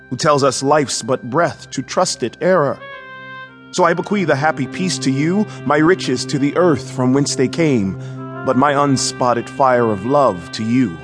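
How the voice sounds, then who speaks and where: An actor playing a bold, eloquent young prince in a Shakespearean audio drama, speaking in an earnest, declamatory way. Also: male voice, medium pitch, close to an everyday voice.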